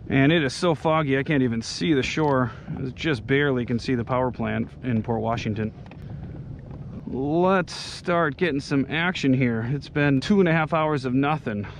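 A man's voice: mid-range voice, normal speed, a little hiss or hum. A man in his thirties giving casual running remarks for a fishing vlog, recorded outdoors on an action camera close by. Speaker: fishing vlogger. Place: outdoors on a kayak on open water and on a beach.

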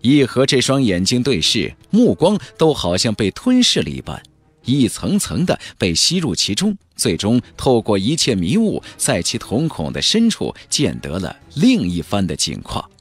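A man narrating a fantasy audiobook in a theatrical, expressive storytelling manner. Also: medium pitch, normal speed, slightly rough, no room sound. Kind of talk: dramatic scripted narration of a fantasy novel. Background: background music.

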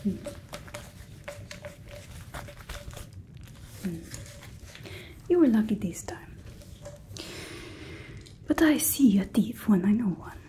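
A young woman playing a stern, mocking fantasy guard in a breathy whispered ASMR roleplay, suspicious and sarcastic. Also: mid-range voice, lively intonation, unhurried, warm tone, slight background noise, natural voice. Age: 20s.

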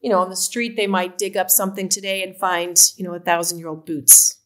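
A woman's voice: fairly low pitch, fairly quick. A woman in her fifties from Oregon with an American accent, talking casually as a company co-founder on a video call. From Oregon, USA. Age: 50s. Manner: animated, gestures while talking. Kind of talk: casual interview talk. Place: home room. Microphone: video call webcam.

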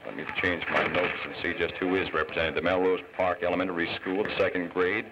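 Dull 1950s film soundtrack, low-voiced American man, courteous formal TV host, interviewing outdoors. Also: level tone, quick pace, background noise. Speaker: television host. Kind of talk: live outdoor interviewing and announcing. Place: outdoor park gathering.

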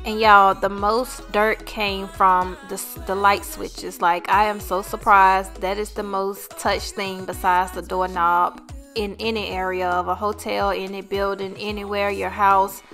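A woman's voice: mid-range voice, level tone, measured pace, bright, forceful tone. An American woman giving a casual, chatty voice-over narration as a vlog host. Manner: chatty, informal. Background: background music.

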